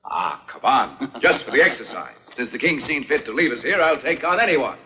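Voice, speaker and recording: A man's voice: mid-range voice, sing-song, moderate pace, sharp tone, a little hiss or hum. A man playing a boastful, flirtatious nobleman and swordsman in a dubbed period adventure film, with a cocky, courtly, theatrical delivery. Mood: cocky, playful.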